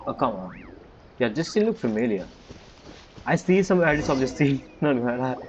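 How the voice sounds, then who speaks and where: A man's voice: medium pitch, big pitch swings, moderate pace, a little hiss or hum. A man streaming a game playthrough, giving casual, muttered reactions with loose, informal grammar. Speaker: gaming streamer. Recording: muffled mic with game music.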